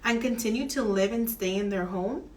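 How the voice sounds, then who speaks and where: A woman mortgage broker explaining home financing options in an earnest, steady advisory chat. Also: mid-range voice, normal speed.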